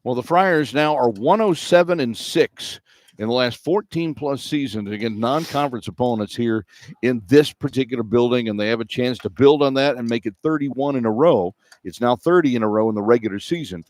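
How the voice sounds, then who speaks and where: A man's voice: medium pitch, fairly quick, a little mellow, no echo. A middle-aged or older man hosting radio sports coverage, giving postgame commentary. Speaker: play-by-play radio host. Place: indoor arena broadcast position.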